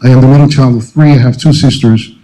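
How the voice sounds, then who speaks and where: A middle-aged man from the Dominican Republic, a native Spanish speaker, giving a prepared speech at a college convocation, earnest, with frequent pauses and fillers. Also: medium pitch, fairly even intonation, warm tone, poor audio. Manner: many uh and um fillers, measured and earnest. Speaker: alumni speaker.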